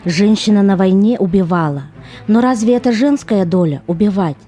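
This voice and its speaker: A woman's voice: mid-range voice, fairly quick, warm tone, soft background music, natural voice. A woman delivering solemn, reflective scripted narration as the narrator of an amateur audio play. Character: solemn female narrator reflecting on women at war.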